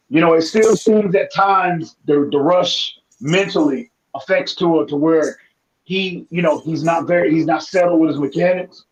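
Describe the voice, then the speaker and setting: A man with a raspy, hoarse voice giving animated, detailed football analysis in casual talk. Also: a little high, muffled recording. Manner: animated, analytical, many restarts.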